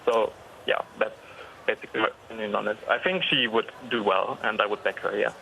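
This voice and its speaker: A man's voice: lowish voice, brisk, creaky, pushed and bright, slight background noise, low-quality recording. A young man from Germany with a German accent, calling in to a news show and giving his opinion politely and with some hesitation. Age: young adult. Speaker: caller.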